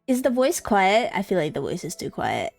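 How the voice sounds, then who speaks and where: A woman's voice: medium pitch, very animated, normal speed, vocal fry, darkish tone. A woman livestreamer chatting casually in a rambling, self-deprecating way, with music in the background. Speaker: live streamer.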